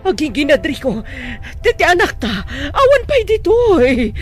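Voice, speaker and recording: A woman's voice: sits high, big pitch swings, moderate pace, soft background music. A woman playing a distraught, demanding mother in an audio drama, emotional and pleading. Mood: panicked, tearful. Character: distraught, demanding wealthy mother fearing for her child.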